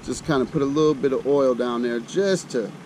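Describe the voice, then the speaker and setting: Middle aged american guy doing a camp cooking vlog outside, folksy chatter, medium pitch, lots of engine-like noise behind him. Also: brisk. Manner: folksy, colloquial, thinking aloud. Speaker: host of an outdoor cooking channel. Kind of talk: casual chat while demonstrating camp cooking. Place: outdoors. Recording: outdoor recording with loud ambient rumble.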